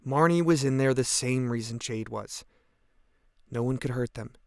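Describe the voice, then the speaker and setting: A man's voice: medium pitch, moderate pace, creaky, clean tone, no echo, clean studio sound, natural voice. A young American man playing a caring, thoughtful teenage boy speaking of his friend in a naturalistic audio drama. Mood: reflective.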